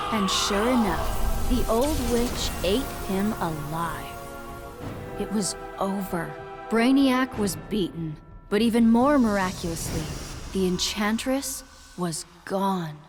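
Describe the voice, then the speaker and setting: A woman playing a haunted young woman freed from possession, in a video game monologue. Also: fairly high pitch.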